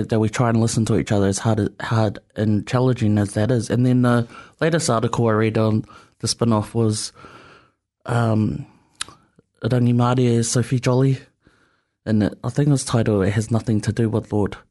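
A man hosting a community radio chat. He is thoughtful and easygoing and pauses often. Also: medium pitch, steady tone, dark tone. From New Zealand. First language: Samoan. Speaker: radio host.

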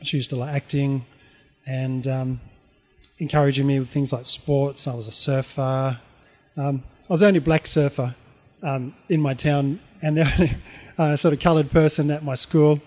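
A middle-aged man with an Australian accent tells his life story informally, in a halting, reflective way. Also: medium pitch, fairly even intonation, darkish tone.

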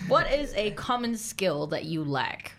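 A woman's voice: mid-range voice, sing-song, unhurried, background noise. A young woman co-hosting a radio show, chatting casually and teasing the others. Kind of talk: casual banter.

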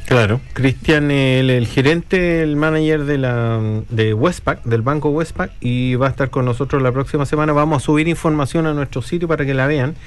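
A man hosting a radio show in a studio, chatting in a relaxed, rambling way with many fillers. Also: mid-range voice, moderate pace, few pauses. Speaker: radio host. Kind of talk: casual chat.

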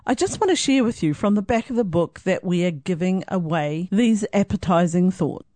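A woman's voice: fairly low pitch, expressive, normal speed, warm tone. A New woman co-hosting a radio show, chatting in a friendly, chuckling way. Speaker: radio co-host.

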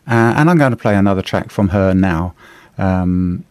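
A middle-aged man with a New Zealand accent, a slightly hoarse radio host chatting through the day's newspaper, halting with many fillers and restarts. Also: deep voice, animated, no echo. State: a bit hoarse. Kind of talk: casual radio chat reviewing the newspaper.

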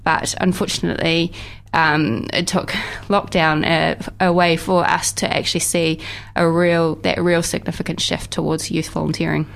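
Female interview guest, slightly low voice, informative and careful in phrasing. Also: little pitch movement, moderate pace, gravelly. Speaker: interview guest from a volunteer organisation.

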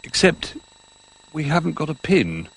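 A man's voice: on the high side, big pitch swings, a little slow, gravelly, husky, pitched above the performer's own voice, exaggerated voice, rough recording. A man voicing a bold, resourceful male toy teddy bear.

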